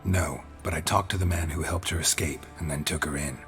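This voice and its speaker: An actor playing a stoic, battle-hardened monster hunter in a video game, terse and deadpan. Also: male voice, a bass voice, brisk, faint music behind. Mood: flat, matter-of-fact. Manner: deadpan, laconic, clipped. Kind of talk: restrained video game acting.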